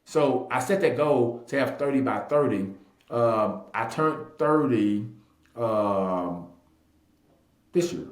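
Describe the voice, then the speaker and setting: Male, 30, casual interview guest, emphatic storyteller who repeats key phrases, mid-pitched, roomy echo. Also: lively intonation, slow. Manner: emphatic, repeats phrases, acts out quoted dialogue. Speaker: real estate agent and investor being interviewed. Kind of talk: animated personal storytelling in casual talk. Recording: echoey room.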